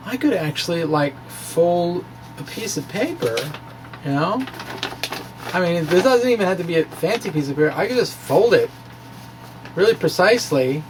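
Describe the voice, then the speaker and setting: A man giving an informal, self-deprecating technical ramble in a hesitant way, with animated asides. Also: high-pitched, a little hiss or hum, low-quality recording. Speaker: physics blogger presenting his own work. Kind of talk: informal technical ramble, reading of own blog.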